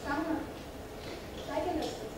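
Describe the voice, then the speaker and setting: A woman's voice: high-pitched, moderate pace, heavy background noise, close to an everyday voice. A woman acting a feisty, candid young woman in an amateur stage play, speaking in a conversational but projected way. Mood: hesitant, tender. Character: feisty, candid young woman confessing love to a male friend. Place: stage of a one-act play. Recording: very echoey theatre hall, distant mic, music.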